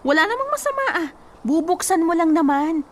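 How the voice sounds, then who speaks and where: An actress playing a blunt, bossy female cousin in a radio drama, teasing and scolding with a sharp tongue. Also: very high voice, very animated, brisk.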